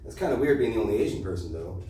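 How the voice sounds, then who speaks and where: A man's voice: fairly low pitch, lively intonation, racing, dark tone. Echoey American male stand-up comic. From Los Angeles, USA. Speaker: stand-up comedian. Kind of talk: stand-up comedy set. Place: small comedy club stage, indoors. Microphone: handheld mic, heard through distant camera. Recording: echoey, noisy club room from far off.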